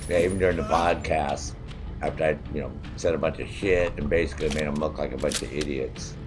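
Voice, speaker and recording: A man's voice: very deep voice, fairly even intonation, fairly quick, background noise. A man casually streaming a shooter game, chatty and profane, with a soothing voice. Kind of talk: casual live-stream gaming commentary. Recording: game gunfire over voice.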